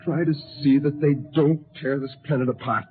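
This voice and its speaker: An American actor playing an idealistic, doomed rebel spaceman with a husky voice in a 1950s radio drama. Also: fairly high pitch, fairly even intonation, moderate pace. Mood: pleading, resigned. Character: idealistic, doomed rebel crewman, pleading for a dead world. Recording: narrow, muffled 1950s radio recording.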